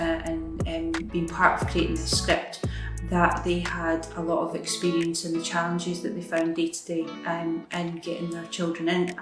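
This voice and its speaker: Low, even-voiced woman in a roomy space. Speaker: community organisation staff member. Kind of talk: documentary interview. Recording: roomy, guitar music bed. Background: guitar music.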